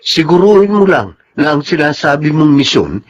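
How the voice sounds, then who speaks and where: A dull, faintly noisy radio drama recording of an exasperated young Filipino boyfriend. His voice runs rather high as he presses his point. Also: very animated, moderate pace. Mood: exasperated, resigned.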